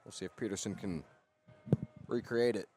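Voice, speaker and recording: A man's voice: on the low side, normal speed, a little mellow, a little hiss or hum. A man giving baseball color commentary as an analyst alongside a play-by-play announcer.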